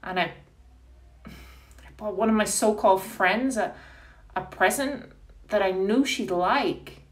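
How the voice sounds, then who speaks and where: A woman's voice: mid-range voice, recorded from a distance, natural voice. An actress playing a cold, self-declared mean woman in a melodramatic, pleading stage monologue. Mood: anxious confiding. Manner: pleading, melodramatic, deliberate with hesitations. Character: cold, self-declared bitch begging not to be proposed to.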